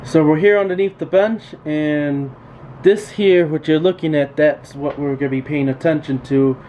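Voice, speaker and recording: Plain-spoken man explaining gear, noisy background. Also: a little high. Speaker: radio repair technician hosting a tool tutorial. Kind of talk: informal unscripted instructional talk. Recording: steady background noise, room ambience.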